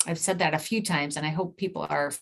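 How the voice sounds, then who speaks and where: Low, creaky-voiced woman giving an informal presentation. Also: steady tone, quick pace, a bit bright. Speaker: nurse practitioner giving a presentation. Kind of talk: informal explanatory talk with slides.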